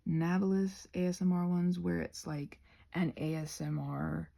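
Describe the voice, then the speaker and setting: A woman rambling casually as she crafts for her ASMR channel, halting and self-deprecating, with a husky voice that often drops toward a whisper. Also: fairly low pitch, fairly even intonation, dark tone. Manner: halting, meandering, self-deprecating, searching for words.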